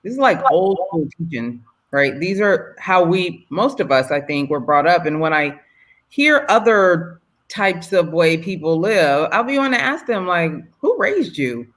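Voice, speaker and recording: A woman's voice: lowish voice, big pitch swings, unhurried, a bit bright. A woman hosting an interview who asks questions in an encouraging, affirming way. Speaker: host. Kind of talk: conversational interview.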